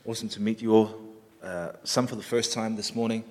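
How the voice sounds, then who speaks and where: Low-voiced Samoan pastor in a reverberant hall. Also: male voice, fairly even intonation, a little fast, a little mellow. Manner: friendly, chatty, self-deprecating asides. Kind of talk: sermon introduction. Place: church hall. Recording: long hall reverb in a church.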